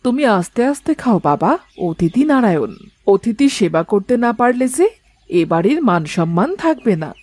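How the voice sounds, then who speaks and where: A woman voicing a hospitable, gently bossy older mother-in-law in a cartoon family drama. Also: medium pitch, very animated, normal speed, no room sound. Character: hospitable, kindly older Bengali mother-in-law, head of household.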